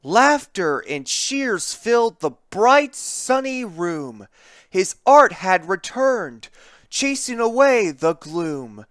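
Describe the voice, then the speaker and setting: A narrator reading a children's picture book aloud in an expressive, theatrical way, with deliberate emphasis on the rhymes. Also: medium pitch, no echo, clean studio sound.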